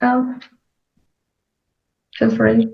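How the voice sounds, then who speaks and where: A woman's voice: on the high side, level tone, very slow, creaky. A woman helping out as organizer of an online session, chatting casually over a distant, muffled video call. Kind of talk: casual helpful chat.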